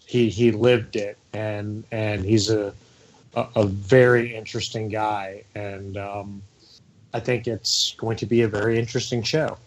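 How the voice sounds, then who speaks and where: Slow, halting male radio co-host. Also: on the low side, level tone, rough recording. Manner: halting, many restarts and ums. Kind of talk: casual radio talk.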